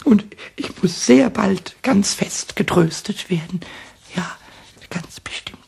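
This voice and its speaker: An actor playing a small, mischievous and jealous male kobold in a radio play, with a raspy, croaky voice and a sulky, stammering, stubborn way of talking. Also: high-pitched, slow, a little mellow, in a whisper, exaggerated voice. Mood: scheming whisper.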